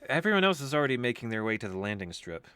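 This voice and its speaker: Performer acting excitable cocky biker crew member, bright voice, lively swooping pitch, audio drama, noisy recording. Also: very fast, smooth, dry recording. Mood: matter-of-fact.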